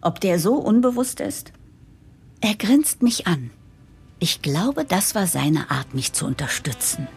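An actress playing a determined new managing director who narrates an audio drama, expressive, with a breathy, husky voice. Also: female voice, fairly low pitch, unhurried, heavily acted. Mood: wry, observing. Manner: expressive, explanatory. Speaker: narrator and lead character. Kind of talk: German audio drama, first-person narration and dialogue.

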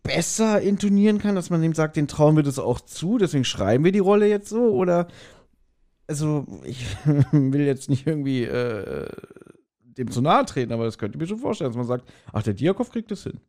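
A man's voice: on the high side, sing-song, fairly quick, warm tone. A man with a German accent co-hosting a podcast in casual chat about an audio drama, often hesitantly searching for words. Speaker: podcast co-host.

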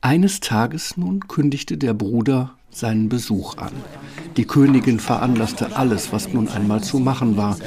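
A man's voice: medium pitch, measured pace. A man narrating a scripted fairy tale as the storyteller of a radio play.

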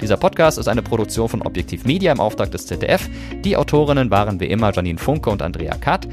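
Low-voiced man narrating a podcast. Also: sing-song, quick pace, music in the background. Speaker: podcast host.

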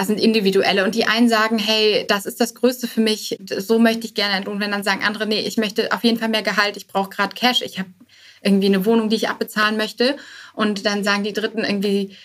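A woman's voice: medium pitch, fairly bright tone. Female start-up founder as podcast guest, mid-range voice, informal and conversational, thinks aloud, some English jargon. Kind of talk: casual conversational interview.

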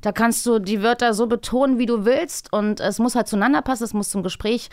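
A woman's voice: on the high side, fairly quick, darkish tone, no echo. A woman, a voice actress appearing as a podcast guest, chatting casually. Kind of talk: casual chat.

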